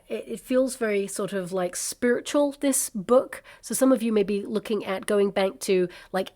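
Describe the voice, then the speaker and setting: A woman doing a casual tarot reading at a table, chatty and reassuring with many fillers, her voice slightly croaky from a coming cold. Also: medium pitch, darkish tone. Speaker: tarot reader. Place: indoors at a table covered with cards and crystals.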